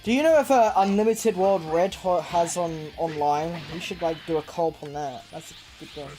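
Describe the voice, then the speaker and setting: A gamer with a warm, mellow voice talks offhandedly while playing a game and reacts to what happens on screen. Faint music plays in the background. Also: medium pitch, normal speed. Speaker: co-host of a gaming stream, playing the game. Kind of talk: casual gaming chat.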